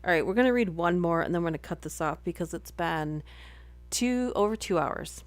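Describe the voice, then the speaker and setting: A woman's voice: lowish voice, lively intonation, brisk, dry recording. A young adult woman streamer reading articles aloud, breaking off into chatty, giggly asides. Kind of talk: casual live-stream reading and chat.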